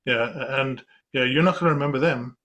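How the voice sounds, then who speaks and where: A man hosting a podcast interview, relaxed and halting, dropping in "yeah" between thoughts. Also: mid-range voice, dull audio. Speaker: podcast host. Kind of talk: casual interview chat.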